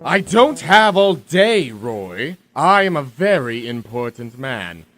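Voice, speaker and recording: Man acting as smug galactic tyrant, menacing, slow, very expressive, theatrical, radio drama. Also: medium pitch. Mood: impatient gloating. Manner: menacing, theatrical, drawn-out delivery. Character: smug male villain, self-proclaimed ruler of the universe. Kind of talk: comic sci-fi radio drama.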